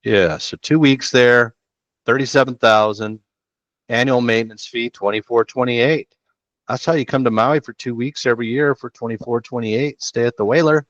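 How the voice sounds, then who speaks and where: A man's voice: mid-range voice, normal speed, no room sound. A middle-aged man hosting a real estate show, chatting casually and slangily through listings on a headset from a small room. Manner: laid-back, slangy, chatty. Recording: dull dry home recording.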